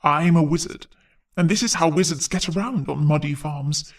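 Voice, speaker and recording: A woman's voice: low voice, moderate pace, recorded from a distance, pitched below the performer's own voice. A woman voicing a friendly male wizard in a children's story, matter-of-fact and kindly. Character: friendly wizard in a pointy hat, adult man.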